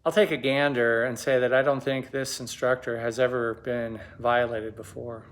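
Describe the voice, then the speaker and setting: A man giving explanatory commentary as a host, measured and pausing for emphasis. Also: mid-range voice, level tone, background noise.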